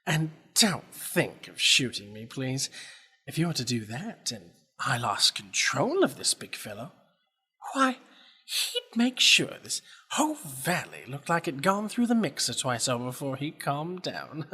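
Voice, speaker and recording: A man playing a falsely polite, menacing villain in an audio drama, with a husky voice. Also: mid-range voice, very animated, measured pace, bright, forceful tone, heavily acted. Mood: gloating menace. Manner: mock-courteous, menacing, savoring threats. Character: taunting, falsely polite villain who controls a deadly elemental.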